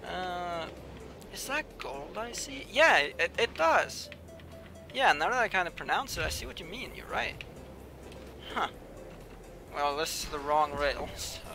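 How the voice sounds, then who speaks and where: A young man live-streaming a video game, chatting casually with viewers and muttering to himself as he plays, with a slightly whiny voice. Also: on the high side. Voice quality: says he has a whiny voice. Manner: chatty, mumbles asides to himself while playing. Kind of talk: casual live-stream commentary, reading chat. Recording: clear music playing behind. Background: background music.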